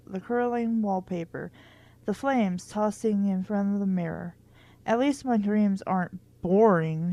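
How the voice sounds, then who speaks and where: Mellow-voiced woman reading casually. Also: medium pitch, dry recording. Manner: informal, stumbles over words and interjects wry asides. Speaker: host of a book reading series. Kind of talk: casual commentary and amateur reading aloud of a children's book.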